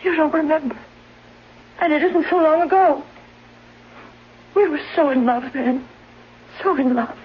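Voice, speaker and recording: An actress playing a suspicious, bedridden wife, weak and breathy, accusing and pleading in a 1970s radio drama. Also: female voice, high-pitched, a little slow, long pauses. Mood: desperate pleading. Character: ailing bedridden suspicious wife, bitter and desperate. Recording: dull vintage radio broadcast recording.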